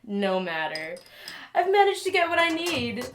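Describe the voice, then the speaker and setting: A woman's voice: high voice, sing-song, unhurried, slight background noise. A woman playing a smug, scheming inventor villain in an audio drama, gloating with mock sympathy. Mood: smooth, scheming.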